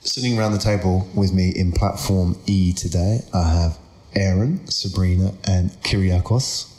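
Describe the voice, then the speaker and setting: A man hosting a podcast interview around a table, relaxed and curious, asking follow-up questions. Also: low-pitched, moderate pace. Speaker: podcast host. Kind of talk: conversational interview. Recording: roomy echo.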